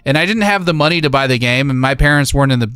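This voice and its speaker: Clear-voiced man in his thirties, chatting enthusiastically. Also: mid-range voice, animated, very fast, fairly bright tone, dry recording. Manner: enthusiastic reminiscing, slangy. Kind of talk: casual chat about video games.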